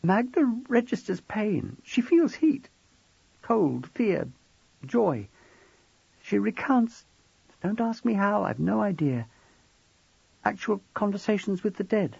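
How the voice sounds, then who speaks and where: An audiobook reader, a man, voicing a frightened, tearful pregnant young wife who talks softly and tremblingly. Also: high voice, sing-song, slow, gravelly, long pauses, breathy edge, dry recording, voice pushed up, exaggerated voice. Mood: tearful, scared. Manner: soft, trembling and sniffly. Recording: dull, dry lo-fi recording.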